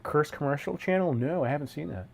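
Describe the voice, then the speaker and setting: A man's voice: on the high side, quick pace, vocal fry, darkish tone. Congested man chatting on a live stream. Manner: laid-back, slangy, says dude and man a lot. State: congested from a cold, sniffly. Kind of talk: casual live stream chat, greeting viewers.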